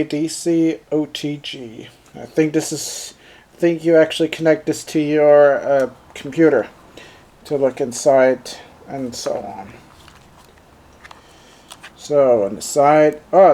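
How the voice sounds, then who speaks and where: A man's voice: a little high, fairly even intonation, unhurried, mellow. A man whose first language is German, speaking English with a German accent while unboxing and reviewing a handheld, thinking aloud with many fillers. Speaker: gadget reviewer.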